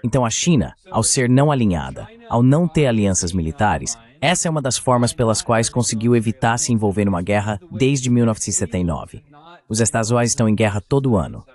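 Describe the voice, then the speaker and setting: Male analyst, lowish voice, argumentative and emphatic, delivering dubbed political commentary. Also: sing-song, fast talker, darkish tone. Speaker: guest geopolitical analyst.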